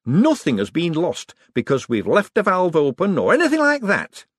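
Man doing a flustered young inventor, stammering, high and jumpy, husky edge. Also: wildly swooping pitch, moderate pace, no room sound, studio quality, full character voice. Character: nervous excitable male economist inventor.

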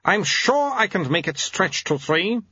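A man's voice: sits high, very animated, unhurried, pushed and bright, slightly rough, no echo, lo-fi sound, pitched above the performer's own voice, full character voice. A man voicing a long-suffering, bossy wife in a storybook, firm, haughty and put-upon.

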